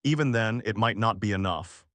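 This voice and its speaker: A man's voice: on the low side, moderate pace, fairly bright tone, no echo, natural voice. A man playing a stressed, scaly female alien fleet coordinator who gives anxious military reports in a story. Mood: bleak.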